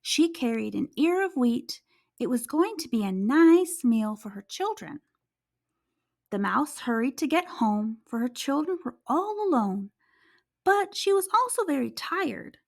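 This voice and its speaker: Woman, children's read-aloud host, high voice, slow careful delivery, dramatic storytelling. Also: sing-song, creaky.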